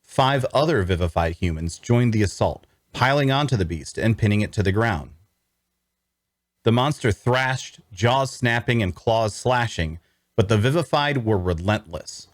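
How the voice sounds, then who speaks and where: A man reading first-person fantasy narration in a measured, deliberate, dramatic storytelling style. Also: on the low side, expressive, dry recording, studio quality.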